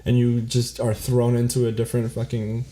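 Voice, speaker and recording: A young man from South Florida, co-hosting a podcast in relaxed casual chat, full of fillers and casual swearing. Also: medium pitch, normal speed. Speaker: podcast co-host.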